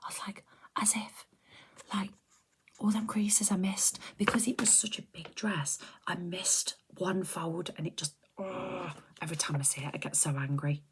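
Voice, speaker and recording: A middle-aged woman, chatting softly and rambling on a close mic for an ASMR video, often slipping into whispers. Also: on the low side, steady tone, moderate pace, creaky, very noisy. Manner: soft-spoken, drifting into whispers, chatty and rambling. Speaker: ASMR creator. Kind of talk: soft-spoken ASMR chat.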